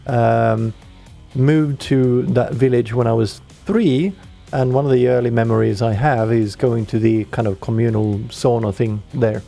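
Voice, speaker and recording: Dry, teasing man with a mellow voice. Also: mid-range voice, measured pace, creaky, faint music behind. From Sweden. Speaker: radio co-host. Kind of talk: casual chat.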